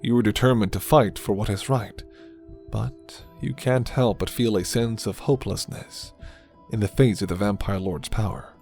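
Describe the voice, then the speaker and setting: A man's voice: lowish voice, normal speed, darkish tone. A man reading scripted second-person narration for an ASMR roleplay story. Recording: music behind the voice.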